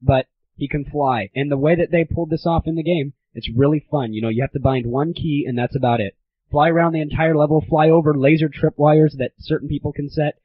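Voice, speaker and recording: Irreverent young man, slightly high, lo-fi. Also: steady tone, brisk, breathy edge, dry recording. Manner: irreverent, profane, slangy. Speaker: host of a gaming audio show. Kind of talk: casual opinionated review monologue. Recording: slightly dull lo-fi home recording.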